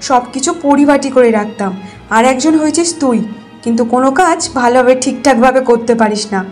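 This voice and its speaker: A woman voicing a spiteful older mother-in-law in a cartoon folk tale, nagging and accusing with a sharp tongue. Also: high-pitched, natural voice.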